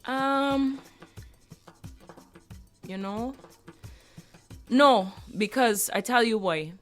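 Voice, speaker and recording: A woman's voice: a little high, very slow. A young Barbadian woman chatting as a guest on a radio show. She is reflective, and her laughter breaks through easily, with faint music under her voice. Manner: animated, laughs often, reflective. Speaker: reggae singer, guest. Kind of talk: casual radio interview.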